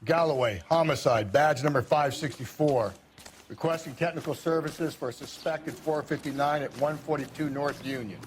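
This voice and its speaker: An American man playing a crude, cynical 1940s homicide detective in a crime game, gruff and wisecracking. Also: on the high side, normal speed, background noise, natural voice. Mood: irritated. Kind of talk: period crime game acting.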